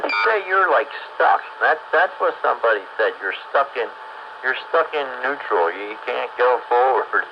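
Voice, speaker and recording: A man in casual conversation, talking in a halting, folksy way. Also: mid-range voice, lively intonation. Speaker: participant in a hobby radio round-table. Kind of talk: casual chat. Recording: muffled radio link with clear noise.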